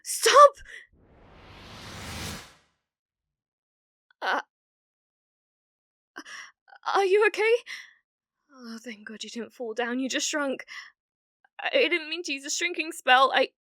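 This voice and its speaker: A woman's voice: high voice, animated, normal speed, sharp tone, no echo, clean studio sound. A British woman playing an obsessive, clumsy, lovestruck witch in an ASMR roleplay, cooing breathily and often slipping into whispers. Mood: flustered, panicked. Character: obsessive, clumsy, lovestruck young witch, doting yet menacing.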